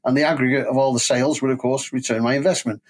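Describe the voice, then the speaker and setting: A man's voice: mid-range voice, steady tone, fast. An older man with a Manchester English accent, telling stories conversationally as an interview guest. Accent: Mancunian English. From England. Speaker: podcast guest, safety training company president. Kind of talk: conversational career storytelling.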